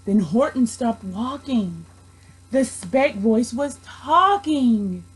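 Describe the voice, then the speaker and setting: A young woman reading a children's storybook aloud in an expressive storytelling style. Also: on the high side, slow, slightly rough. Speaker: storybook reader, education student.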